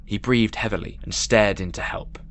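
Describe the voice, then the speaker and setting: A man's voice: lowish voice, moderate pace, fairly bright tone, slight background noise. A man reading scripted narration as the narrator of an audio drama.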